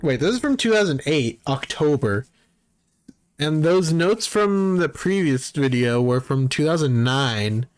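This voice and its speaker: A man's voice: on the high side, animated, unhurried. A man giving casual, wry, sweary commentary and reactions on a horror series. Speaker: video essayist and reactor. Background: background music.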